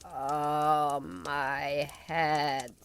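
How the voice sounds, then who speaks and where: A man's voice: fairly high pitch, little pitch movement, a bit bright, a little hiss or hum, pitched above the performer's own voice, exaggerated voice. A man voicing a weary old amphibian creature in a fantasy audio story, talking in a plain, grumbling way with odd grammar. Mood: pained, weary. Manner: slow, plain, complaining talk with odd grammar.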